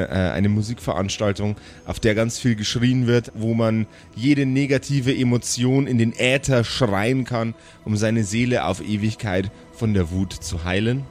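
A man's voice: on the low side, darkish tone. A man narrating an improvised tabletop story as game master, descriptive and searching for words as he goes.

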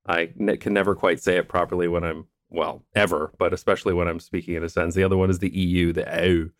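A man's voice: deep voice, level tone, fast, no echo, clean studio sound. A man hosting a casual podcast conversation, explaining things at length in a thoughtful way. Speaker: podcast host.

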